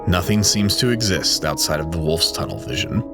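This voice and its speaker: A man giving solemn, measured dramatic narration of a scripted story. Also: low-pitched, animated. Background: loud music.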